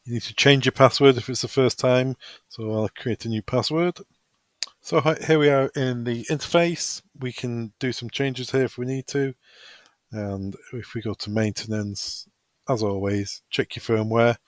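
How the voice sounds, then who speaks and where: A man's voice: medium pitch, steady tone, moderate pace, no echo. A man presenting a step-by-step technical walkthrough in an informal, practical, instructional way. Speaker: product tutorial presenter. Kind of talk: step-by-step software walkthrough, semi-improvised.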